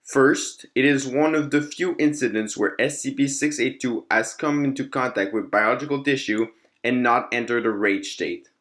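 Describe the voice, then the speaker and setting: Male narrator, mid-range pitch, formal and measured, for scripted documentary-style fictional file readings. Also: fairly bright tone.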